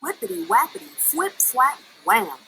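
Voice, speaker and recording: A woman reading a children's story aloud in an expressive storytelling style. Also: fairly high pitch, a little slow, slight background noise. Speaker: story reader.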